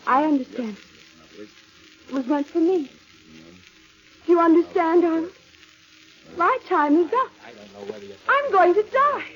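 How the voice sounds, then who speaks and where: An actress playing a frightened, melodramatic wife haunted by dread in a vintage radio suspense drama. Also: female voice, very high voice, expressive, slow, pauses often, faint music behind, heavily acted. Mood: panicked. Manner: anxious, melodramatic. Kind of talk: 1940s radio suspense acting. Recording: dull old radio recording.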